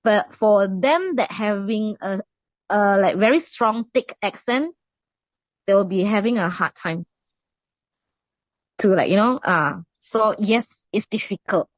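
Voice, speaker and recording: A woman's voice: mid-range voice, slow, long pauses, no echo. A middle-aged Indonesian woman with an Indonesian accent, answering interview questions hesitantly with many fillers and restarts. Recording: muffled dry recording.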